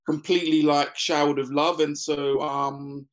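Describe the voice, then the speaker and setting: A man in his forties from Ghana, a business founder talking as a guest in a casual interview, relaxed, laughing often and using many fillers. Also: fairly high pitch, a little slow.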